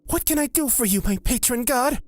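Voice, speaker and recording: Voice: high voice, animated, brisk, no room sound. A performer playing a nervous, courteous young cleric and blood witch, talking in a flustered, polite way in a fantasy audio drama. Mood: polite, wary.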